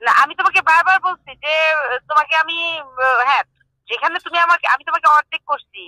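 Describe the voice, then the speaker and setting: A woman's voice: high-pitched, animated, quick pace, heavy background noise. A middle-aged woman with a Bangladeshi accent, talking insistently and repetitively in an agitated conversation over a phone speaker. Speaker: prank call recipient. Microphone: phone call.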